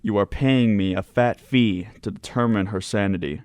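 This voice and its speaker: A man playing a learned, wry psychiatrist in an amateur radio drama, speaking formally and with measured candour. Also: fairly low pitch, a little mellow, no room sound, natural voice. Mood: wry, firm.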